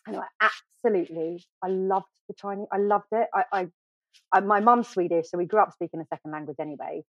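A middle-aged woman from the UK, a podcast guest telling her story in a chatty, animated way with lots of fillers and restarts. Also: medium pitch, brisk, dry recording. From United Kingdom. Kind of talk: casual storytelling interview. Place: podcast studio. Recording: dry, slightly dull studio sound.

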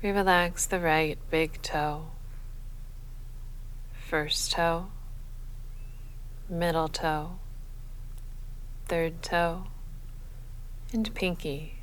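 A woman leading a guided sleep meditation as a yoga nidra guide, speaking in a measured, soothing, unhurried manner. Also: on the low side, rough recording. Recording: faint steady background noise.

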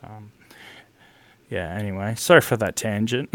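A man's voice: lowish voice, animated, slow, dry recording. A man who produces music, speaking as a guest in a casual interview, rambling and hesitant, apologizing for going off topic. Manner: rambling, hesitant, self-deprecating.

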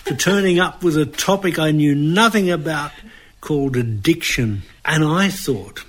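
Joking Australian man, slightly high voice. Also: unhurried. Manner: joking, teasing. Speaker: podcast host. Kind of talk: casual comic chat.

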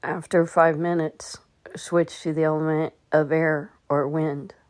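A woman leading a guided meditation, giving gentle instructions with long pauses between phrases. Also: deep voice, flat delivery, dry recording. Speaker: meditation guide and podcast host.

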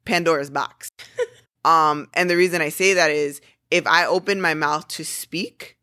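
A woman's voice: low voice, steady tone, a little slow, sharp tone, no echo, studio quality. A woman co-hosting a casual podcast conversation, forward and intense in the way she talks. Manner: forward, intense, self-described aggressive tone. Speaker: podcast co-host.

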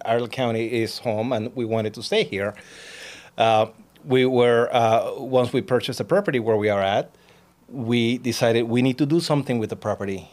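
A man's voice: mid-range voice, a little mellow. Chatty Peruvian-man. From Peru. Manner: chatty, repeats words, laughs often. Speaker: guest, alpaca farmer. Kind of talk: casual interview chat.

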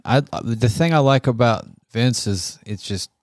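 An American man with a medium-pitched voice co-hosts a casual music podcast. He speaks in slangy, enthusiastic fan talk, recorded dry with hardly any room sound. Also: unhurried, dry recording. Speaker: podcast co-host.

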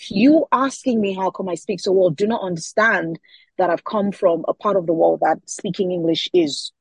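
Husky-voiced Nigerian woman, thoughtful. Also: medium pitch, moderate pace, dry recording. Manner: thoughtful, repeats words as she searches. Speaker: author guest. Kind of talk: interview answers.

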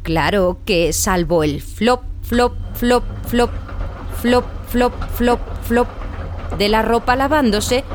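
A woman's voice: fairly high pitch, takes it slowly, a little hiss or hum. A woman narrating a children's radio tale playfully, repeating words for effect. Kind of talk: scripted children's story narration.

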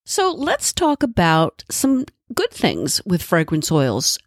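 A woman's voice: lowish voice, wildly swooping pitch, takes it slowly, no echo, studio quality. A woman podcast host with a congested, nasal voice from a cold, giving a friendly solo explanation with asides. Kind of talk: solo educational podcast talk.

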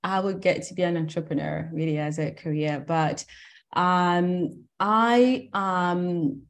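A woman from Cameroon in her thirties speaks as a guest founder in a relaxed interview. Her voice sits a little low, and she talks in a reflective way, with frequent ums and small laughs. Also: slow. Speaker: skincare company founder, guest. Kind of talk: conversational interview.

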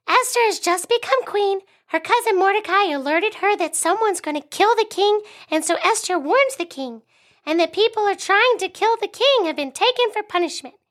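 A woman's voice: extremely high pitch, fairly bright tone. A woman voicing a cheerful young male monkey who tells a Bible story on a school radio show. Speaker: segment host.

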